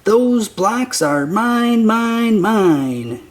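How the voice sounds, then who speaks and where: A storybook reader voicing a grumpy young toad who whines possessively in drawn-out complaints. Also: medium pitch, big pitch swings, voice pushed up. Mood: whiny, possessive.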